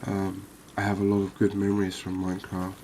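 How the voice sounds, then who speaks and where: A man giving calm, unhurried, soft-spoken ASMR gameplay commentary, musing aloud as he plays. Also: sits low, slight background noise. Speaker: gaming video host.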